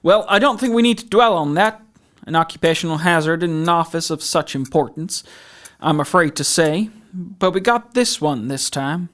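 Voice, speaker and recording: Man playing a high-voiced, officious presidential aide. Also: moderate pace, fairly bright tone, lightly acted. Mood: dismissive. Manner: formal, polite, fussy, faltering when challenged. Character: courteous, flustered male presidential aide, officious. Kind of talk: fan-made audio drama acting.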